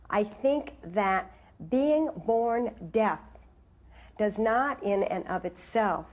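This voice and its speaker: A woman speaking as an advocate in a documentary interview, formal, deliberate and instructive. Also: medium pitch, darkish tone. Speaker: oral deaf-education advocate.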